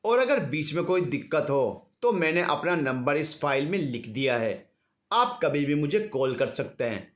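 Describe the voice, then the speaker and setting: A man's voice: fairly high pitch, very animated, normal speed. A man voicing a female doctor in an audio story, explaining things politely and reassuringly.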